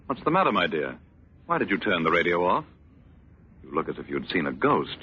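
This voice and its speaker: An actor playing a sardonic crippled husband with political ambitions, speaking with formal, mocking politeness in 1940s radio drama style. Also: male voice, low voice, very animated, fairly quick, husky, heavily acted. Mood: suspicious, needling. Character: bitter, sardonic crippled husband running for governor. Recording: dull vintage radio recording, faint hiss.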